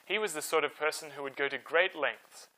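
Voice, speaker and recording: A man's voice: fairly high pitch, brisk, bright, forceful tone, rough recording. A man hosting a storytime, telling history from a script in an emphatic, conversational way. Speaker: storyteller host.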